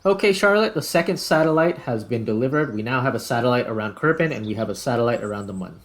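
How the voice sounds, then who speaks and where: Male gaming host, mid pitch with fry, casual think-aloud commentary, muttered in places. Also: lively intonation, fast talker. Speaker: host, gaming video creator.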